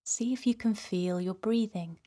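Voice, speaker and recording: A woman narrates a guided relaxation for children at a very unhurried pace. Her delivery is gentle and soothing, with a soft breathiness. She sits at a middle pitch and addresses the young listener directly. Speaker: children's guided meditation host.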